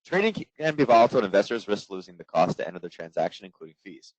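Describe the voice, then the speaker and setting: A man in his forties, hoarse from coaching, giving a trading webinar in a quick, casual way full of fillers. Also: fairly low pitch, sing-song, no room sound. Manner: quick, filler-heavy, conversational. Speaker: trading instructor. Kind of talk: live webinar presentation. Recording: dull, dry lo-fi webinar audio.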